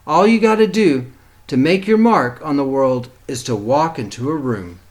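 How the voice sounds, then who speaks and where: A man's voice: on the high side, animated, normal speed, darkish tone, lightly acted. A man voicing a cheerful, joking old country uncle in a storybook, folksy and encouraging.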